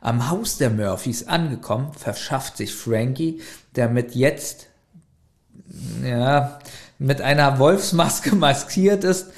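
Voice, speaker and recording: A man's voice: mid-range voice, slow pace. A man co-hosting a casual podcast, reading a plot summary aloud and breaking off for chatty side comments. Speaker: podcast co-host.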